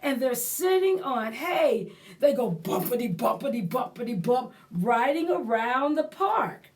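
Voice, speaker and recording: A woman reading a picture book aloud to young children as a library storyteller, expressive and pausing to explain things and prompt her listeners. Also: fairly high pitch.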